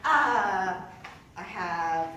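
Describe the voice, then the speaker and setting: A woman performing a comedic theatrical monologue, flustered and halting, often interrupting herself. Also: mid-range voice, expressive, pushed and bright, a little hiss or hum. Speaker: solo stage performer. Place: indoor performance space before an audience. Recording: echoey stage hall, distant microphone.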